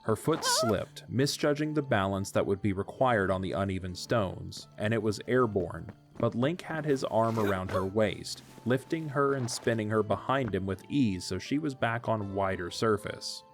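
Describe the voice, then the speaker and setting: A man narrating an audio drama in a measured storytelling delivery. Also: on the low side, soft background music.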